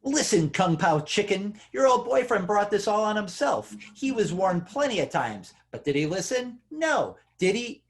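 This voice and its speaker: A man's voice: sits high, very animated, moderate pace, few pauses. A man playing a smug, sneering club-owner villain in a script table read, with a mocking, theatrical, condescending delivery.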